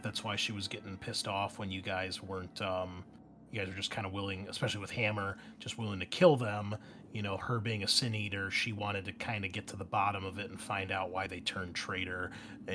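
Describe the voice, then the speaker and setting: A man's voice: low voice, flat delivery, fairly quick, noisy background. A man acting as game master and host, chatting casually as he walks players through a post-game debrief.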